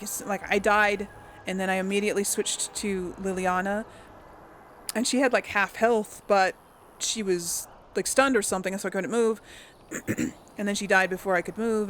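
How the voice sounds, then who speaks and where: A woman's voice: medium pitch, fairly bright tone, slight background noise. A woman giving casual video game commentary, thinking aloud in an exasperated way. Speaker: host playing a video game. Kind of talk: casual let's play commentary.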